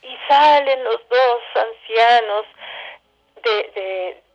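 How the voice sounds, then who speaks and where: A Mexican woman explaining things as an interview guest in an expressive, colloquial, storytelling way over a telephone line. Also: on the high side, very slow. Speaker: interview guest, theatre director. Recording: dull telephone-line sound.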